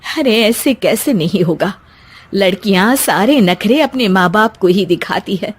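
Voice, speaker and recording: A woman's voice: mid-range voice, expressive, unhurried, slightly rough. A woman voicing a middle-aged mother in a family story, chiding and pragmatic. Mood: reassuring, practical.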